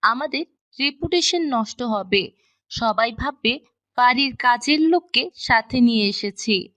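A snobbish young woman with a bright, high voice who speaks with cold scorn, as a woman puts her on in a storybook. The sound is dry. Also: lively intonation, a little slow, no room sound. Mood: scornful.

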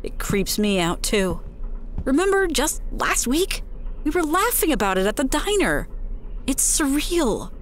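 Bonnie, a reassuring young friend. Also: female voice, sits high, big pitch swings, measured pace, a little hiss or hum. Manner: reassuring, encouraging. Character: young woman Bonnie, warm supportive friend.

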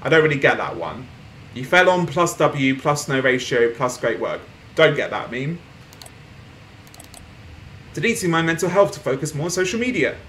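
Young male gaming streamer, casual playthrough chatter, slightly higher voice, faint background hiss. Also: big pitch swings, moderate pace. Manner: chatty, reads on-screen posts aloud, laughs softly. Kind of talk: casual game playthrough commentary. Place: small room at home, indoors.

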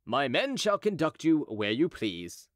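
A man's voice: a little high, sing-song, measured pace, no room sound, studio quality. A man voicing a young patrol officer, grave, formal and polite, in an audiobook. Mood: firm, polite. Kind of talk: audiobook character dialogue.

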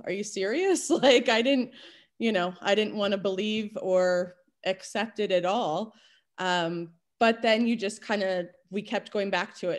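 A woman in her forties, a kindergarten teacher, telling a candid story on a video call from home. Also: medium pitch. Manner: candid, storytelling, quick asides. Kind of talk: casual video call panel. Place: home room at night. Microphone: laptop webcam on video call.

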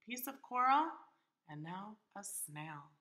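An unhurried woman reads a storybook to small children in a bright, clear-edged voice. Her pitch moves up and down in an animated way. She sounds like a teacher, in an echoey room at home. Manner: deliberate, questions listeners as if teaching young children. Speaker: teacher reading a picture book aloud to children. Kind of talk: expressive children's storybook read-aloud. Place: indoors in a hallway of a home.

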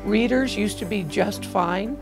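A woman's voice: medium pitch, a little slow. An older woman chatting enthusiastically in a customer testimonial. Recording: ad with music bed.

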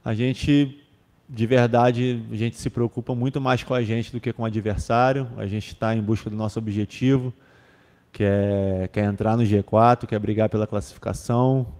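A man's voice: fairly low pitch, steady tone, normal speed, a little mellow, big-room sound. A young man, a football defender, answering press questions in a casual way, with many fillers and restarts, into a table microphone. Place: club press room with sponsor backdrop. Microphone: table microphone on stand.

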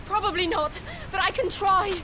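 A woman's voice: very high voice, normal speed, heavy background noise. An actress playing an earnest, resolute young woman in a video game, with urgent, emotional delivery. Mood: quiet resolve. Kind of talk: dramatic video game cutscene acting.

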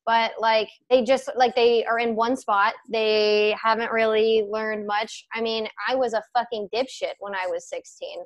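Woman, mid-twenties, podcast co-host, slightly high voice, animated, swears a lot, earbud mic on a video call. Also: a little slow, hardly any pauses. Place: home room with bookshelf, indoors.

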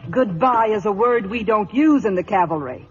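An actress playing a feisty, tender cavalry wife in a 1950s radio drama. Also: female voice, mid-range voice, animated, normal speed, breathy edge. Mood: firm, tender. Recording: muffled, noisy vintage radio broadcast.

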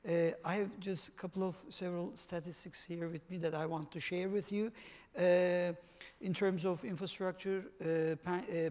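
A man's voice: high voice, a little mellow. Turkish man moderating in a reverberant hall. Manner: halting, many fillers while reading figures. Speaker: panel moderator. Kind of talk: conference panel moderation. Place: large conference hall stage. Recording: long reverb of a conference hall.

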